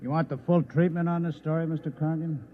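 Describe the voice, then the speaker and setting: An actor playing an obedient, businesslike subordinate in 1950s American radio drama, deferential and matter-of-fact. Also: male voice, on the high side, fairly even intonation, brisk, lightly acted. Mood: cool, deferential. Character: obedient, businesslike henchman to a boss. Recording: tinny, hissy old radio recording.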